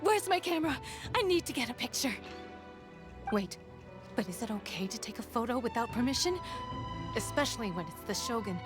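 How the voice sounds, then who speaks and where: A woman's voice: on the high side, expressive, brisk, long pauses, lightly acted. A woman playing a starstruck, flustered young townswoman in a video game, gushing breathlessly and hesitantly. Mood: excited, hesitant. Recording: game music behind.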